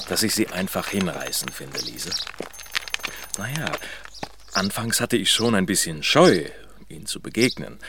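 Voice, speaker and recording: An actor playing a flirtatious, unsettlingly attentive man who teases in a smooth, sing-song way, in a radio drama. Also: background noise. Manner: smooth, teasing, swings pitch widely.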